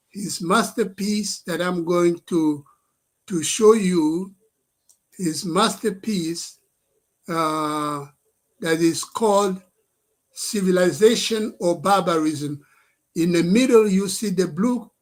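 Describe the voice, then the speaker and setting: Man, older, native French speaker, high voice, slow, deliberate, repeats points. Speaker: scholar and activist addressing leaders. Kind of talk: livestream address.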